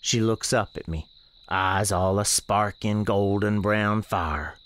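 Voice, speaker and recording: An actor playing a plainspoken, watchful country storekeeper, narrating a supernatural radio drama in a deliberate, homespun way. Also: male voice, lowish voice, fairly even intonation, a bit bright, clear, resonant tone. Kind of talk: first-person radio drama narration and dialogue.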